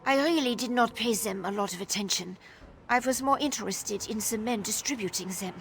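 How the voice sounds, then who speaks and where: A woman's voice: medium pitch, brisk, faint music behind, natural voice. A woman playing a wary, downtrodden young Nord informant in a video game, telling things in a guarded, world-weary way. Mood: guarded, wistful. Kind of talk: video game dialogue acting.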